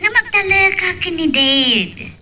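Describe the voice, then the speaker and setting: A woman's voice: very high-pitched, expressive, measured pace, sharp tone, distant mic, full character voice. A woman with an Ilocano accent playing an insistent, possessive young woman in love in a radio drama.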